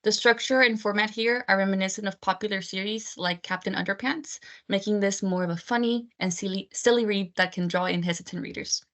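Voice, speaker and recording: A woman's voice: mid-range voice, brisk, hardly any pauses, a bit bright. A woman from Texas reading a scripted book presentation over a video call. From United States (Texas). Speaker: book selection editor. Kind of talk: prepared book talk presentation.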